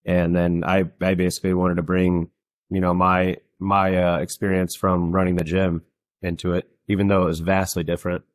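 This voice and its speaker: A man's voice: low-pitched, completely flat delivery, normal speed, dry recording. A man hosting a podcast in casual conversation, talking in a rambling way with many fillers and restarts. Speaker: podcast host. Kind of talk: casual chat.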